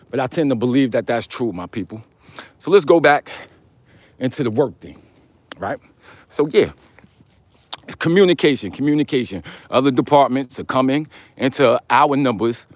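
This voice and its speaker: A man with a deep voice hosting a casual, rambling vlog, speaking in slangy, conversational style and addressing listeners as brother and man. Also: no room sound. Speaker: host of a live vlog. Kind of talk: casual rambling talk, answering viewer comments.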